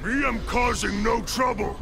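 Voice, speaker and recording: Big dumb undead superhero clone, slow gravelly blustering, broken grammar, video game, loud music. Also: male voice, very high voice, heavily acted. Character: dim-witted, lumbering undead clone of a superhero.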